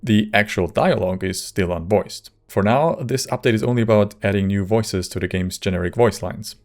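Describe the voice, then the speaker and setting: A man giving scripted video narration in a measured way with dry, wry humour. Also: lowish voice. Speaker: video creator and modder. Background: faint music.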